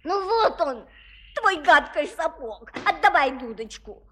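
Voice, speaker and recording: A woman's voice: high-pitched, sing-song, unhurried, a bit bright, a little hiss or hum, full character voice. A woman playing a prissy, squeamish lady-in-waiting in a children's audio play, fussy and full of exclamations. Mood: reluctant, disgusted.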